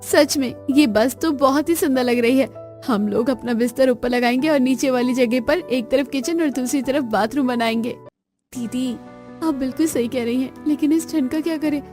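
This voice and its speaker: A woman's voice: high-pitched, moderate pace, a little mellow, faint music behind, lightly acted. A woman voicing a resourceful, caring young daughter-in-law in a cartoon story. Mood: practical.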